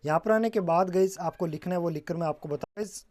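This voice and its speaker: A man's voice: high-pitched, fast talker, darkish tone. A man presenting a casual step-by-step tech tutorial, often addressing viewers as guys and mixing in English terms.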